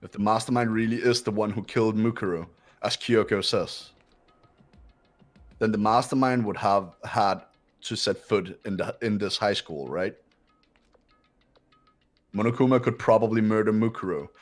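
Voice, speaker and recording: Casting: man as arrogant teenage heir, low register, clipped and condescending, game dialogue. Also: moderate pace, soft background music, lightly acted. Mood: cold, condescending. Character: haughty, cold, rich teenage boy. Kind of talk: amateur visual novel voice dub.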